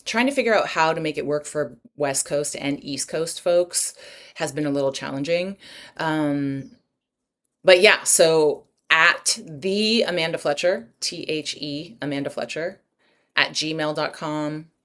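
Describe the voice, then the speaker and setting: A woman with a notably low voice speaks as a podcast guest. She talks passionately and rambles, jumping into asides and dropping a swear word now and then. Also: measured pace, fairly bright tone. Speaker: guest, breathwork practitioner and writer. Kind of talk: podcast interview talk.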